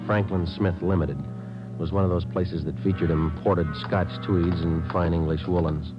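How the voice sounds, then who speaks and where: A man's voice: sits low, steady tone, normal speed, natural voice. An American man playing a terse, businesslike police detective sergeant in a radio drama, speaking in a clipped, matter-of-fact way. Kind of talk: 1940s-50s radio drama acting and case narration. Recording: dull old radio recording, music behind.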